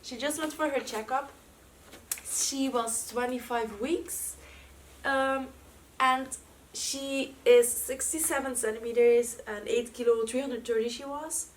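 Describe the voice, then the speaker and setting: A young woman speaking English with a Dutch accent, her voice sitting a little high. She rambles casually at home with plenty of fillers, sounding a bit away from the mic over faint room noise. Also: fairly even intonation, takes it slowly, recorded from a distance. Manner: chatty, with many fillers. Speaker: vlogger. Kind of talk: casual chat.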